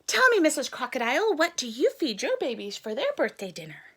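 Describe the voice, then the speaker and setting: A woman voicing a chatty young male frog who turns nervous, in a high squeaky excited voice for a storybook. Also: brisk, slightly rough, heavily acted.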